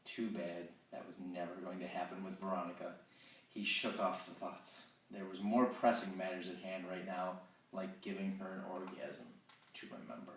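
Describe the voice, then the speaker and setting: A man with a very low voice narrates a romance novel at an even, measured pace. The recording is distant and echoes heavily around the room, with a faint background hiss. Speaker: narrator reading an excerpt.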